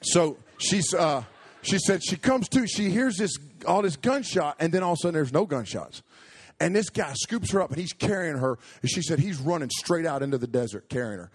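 A man preaching a sermon, telling a story with animated, jokey asides and frequent self-interruptions. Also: a little high, fairly quick, husky.

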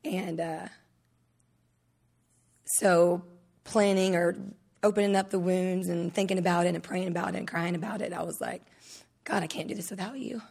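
A woman in her thirties with a raspy voice, giving a candid and emotional personal testimony on a conference stage. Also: fairly low pitch, steady tone, moderate pace, long pauses, a little mellow.

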